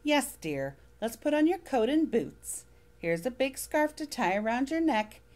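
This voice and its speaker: A woman as a gentle, kind mother. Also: high-pitched, sing-song, measured pace, voice pushed up. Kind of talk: storybook reading.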